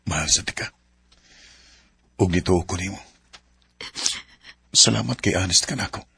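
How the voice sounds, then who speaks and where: An actor playing a gentle, forgiving young boyfriend in a Cebuano radio drama, with a deep gravelly voice. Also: male voice, moderate pace, no room sound, exaggerated voice. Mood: tender.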